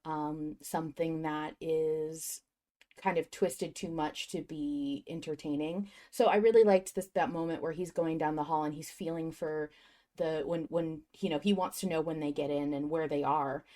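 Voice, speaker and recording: A woman's voice: sits low, warm tone. A woman co-hosting a podcast, talking through her thoughts in a reflective, wordy, enthusiastic way in casual fan discussion. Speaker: podcast host.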